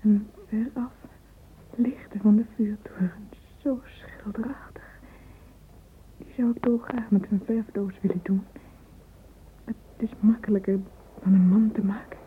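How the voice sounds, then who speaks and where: A woman acts out a romantic young woman lost in her own inner monologue, breathy and yearning. She speaks slowly in a hushed, self-dramatising way. It is an old radio play recording, dull and clearly noisy. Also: mid-range voice, fairly even intonation, close to an everyday voice. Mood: wistful.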